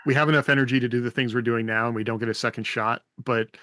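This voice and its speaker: A man with a middling pitch talks casually on a podcast. He hedges and works through his thoughts as he goes. The sound is dry, with no room echo. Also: fairly even intonation, fast talker, sharp tone. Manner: tentative, hedging, thinking aloud. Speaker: podcast co-host. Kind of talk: casual discussion.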